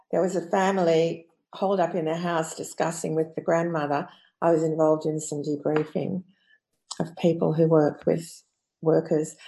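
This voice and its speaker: Lowish-voiced woman in an echoey room. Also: a little mellow. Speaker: panel guest. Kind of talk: reflective conversational discussion. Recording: roomy, echoey sound.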